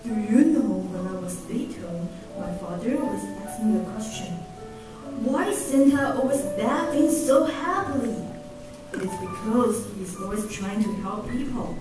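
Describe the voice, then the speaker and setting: A woman's voice: a little high, expressive, normal speed, natural voice. A woman playing a doctor's earnest son giving a speech in an amateur radio drama. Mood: earnest, reminiscing. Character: earnest son accepting an award for his doctor father. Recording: very echoey, distant, music behind.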